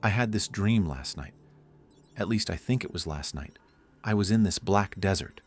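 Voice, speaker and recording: An actor playing a paranoid, haunted scientist slipping into madness in an audio drama. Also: male voice, sits low, moderate pace, soft background music, lightly acted. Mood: uneasy, haunted.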